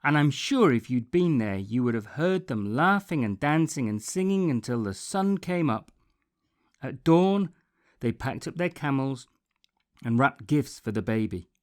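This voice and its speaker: Man, slow pace, medium pitch, expressive storybook narration, deliberate pauses, dramatic emphasis. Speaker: storybook reader.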